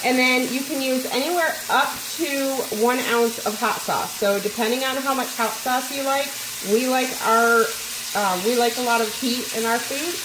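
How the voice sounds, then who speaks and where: Woman, 40s, home cooking vlogger, casual and chatty, echoey kitchen, faint cooking noise behind. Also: a little high. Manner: chatty, talks to viewers directly. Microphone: camera mic at arm's length.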